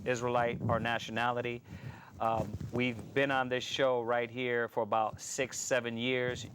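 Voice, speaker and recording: A man's voice: mid-range voice, a bit bright, no echo. A man hosting a live religious show from a small studio, talking loosely with many fillers and restarts. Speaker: host.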